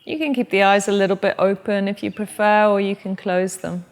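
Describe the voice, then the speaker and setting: A woman who teaches yoga, leading a guided meditation in a soft, gentle, unhurried way. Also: medium pitch, fairly even intonation, in a large hall. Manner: soft, slow and gentle guidance.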